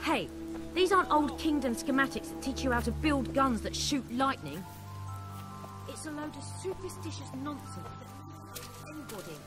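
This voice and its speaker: A woman's voice: sits high, lively intonation, moderate pace. An actress with a British accent playing a brash, blunt, sarcastic young warrior woman in a fantasy video game. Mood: sarcastic, scornful. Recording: long hall reverb, game music behind.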